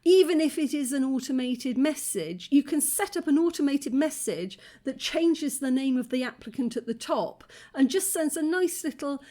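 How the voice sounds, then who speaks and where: A woman hosting a reaction video with a croaky voice, talking in an outspoken, indignant way with plenty of fillers. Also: high voice, animated, moderate pace, hardly any pauses, warm tone. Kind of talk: casual reaction commentary.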